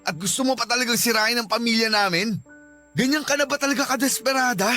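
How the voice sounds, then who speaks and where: Irritable cheating husband, flustered, scolding, agitated delivery, radio drama, soft music bed. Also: male voice, extremely high pitch, fast. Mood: indignant. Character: flustered, irritable cheating husband resisting his sister-in-law. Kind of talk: Filipino radio drama acting.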